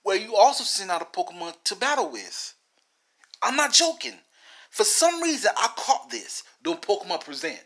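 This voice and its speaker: A man's voice: high-pitched, pushed and bright. A man giving rambling video game commentary in a theatrical manner, repeating phrases and talking straight at the viewers.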